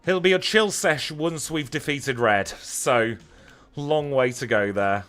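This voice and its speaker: A man's voice: mid-range voice, takes it slowly, a bit bright, smooth, soft background music. A man with a Lancashire accent chatting casually as he streams a video game, wry and self-deprecating. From Lancashire, northern England. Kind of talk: casual livestream chat over gameplay.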